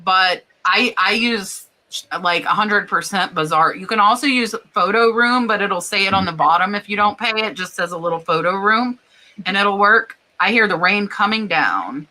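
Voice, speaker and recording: A woman's voice: mid-range voice, moderate pace, bright, forceful tone, rough recording. A woman from Florida hosting a live stream chat, talking casually, laughing and reading out viewer comments. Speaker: host.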